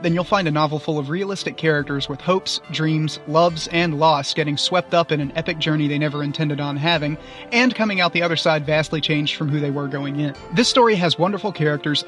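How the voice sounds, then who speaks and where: A man's voice: fairly high pitch, fairly quick, a bit bright, faint music behind. A man giving scripted review commentary as a guest reviewer.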